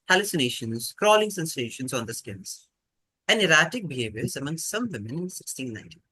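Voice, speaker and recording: Quiz master, male, lively range, husky edge, reads questions aloud. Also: fairly high pitch, no echo, rough recording. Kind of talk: reading quiz questions on an online quiz.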